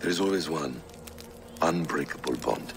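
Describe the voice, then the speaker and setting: Casting: brooding warrior assassin, low creaky voice, solemn and measured, video game cutscene. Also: male voice, noisy background. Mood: wistful. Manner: measured, solemn, sparing with words. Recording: loud game music behind.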